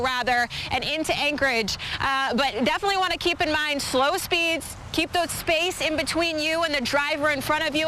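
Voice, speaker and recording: A woman's voice: sits high, bright, forceful tone, slight background noise. A woman with an American accent reporting live from the field for TV news, advising drivers.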